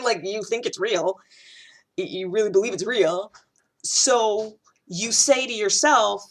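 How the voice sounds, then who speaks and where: A woman talking casually to a camera, animated and chatty, with many fillers and restarts. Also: medium pitch. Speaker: video host. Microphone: camera, solo recording.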